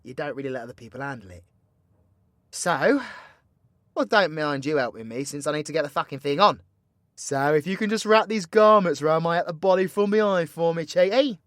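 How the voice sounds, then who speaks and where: A British man playing a cocky, foul-mouthed dark-magic warlock in an audio roleplay, with slangy, teasing, proud delivery. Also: on the high side, expressive, fairly quick, no echo. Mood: brooding, intense. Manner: cheeky slangy banter, swinging between hushed menace and loud scolding. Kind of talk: roleplay ASMR audio drama.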